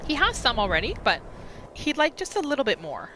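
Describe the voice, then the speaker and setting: A woman's voice: sits high, huge pitch swings, a little fast, background noise. A woman giving casual, storytelling commentary as she narrates a roleplay video game. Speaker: gamer narrating a roleplay series.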